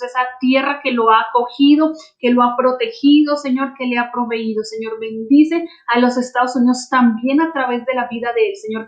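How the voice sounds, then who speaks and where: A woman's voice: sits high, lo-fi sound. A woman pastor hosting a church program, praying fervently with a repetitive cadence.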